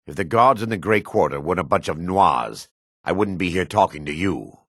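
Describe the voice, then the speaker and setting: A man voicing a gruff, dry-mannered dark elf warrior and townsman in a fantasy video game, with a gravelly, husky voice. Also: deep voice, lively intonation, moderate pace, no room sound, full character voice. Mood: disdainful, weary. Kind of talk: video game character voice lines.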